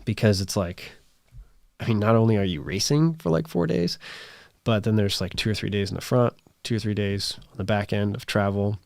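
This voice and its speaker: A man in his thirties hosting a live stream, chatting casually and reading viewer comments, his voice strained and raspy, talking in rambles full of fillers. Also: lowish voice, normal speed, a little mellow, no room sound, clean studio sound. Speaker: livestream host.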